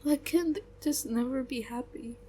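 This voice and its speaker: A woman playing a young adult best friend who speaks in a halting, subdued way with long pauses, venting about her family. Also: high voice, dark tone, a little hiss or hum, natural voice. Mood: hurt. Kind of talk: audio roleplay acting.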